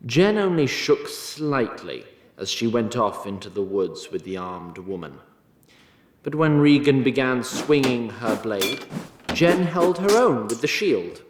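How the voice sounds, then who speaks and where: A man narrating a scripted fantasy story in an expressive storytelling manner, with a roomy sound on his voice. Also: medium pitch, unhurried, slight background noise. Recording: roomy echo on voice.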